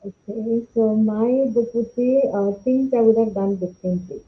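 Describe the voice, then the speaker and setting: A woman's voice: fairly high pitch, fairly even intonation, moderate pace. A woman with an Indian accent, a teacher on a panel, explaining her views earnestly on slightly lo-fi audio. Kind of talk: unscripted panel discussion.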